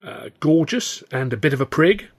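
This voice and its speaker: A man's voice: on the high side, animated, moderate pace, slightly rough, voice pushed up. A man voicing a polite, self-important English comedian who is condescending and evasive, in an audiobook. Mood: patronising.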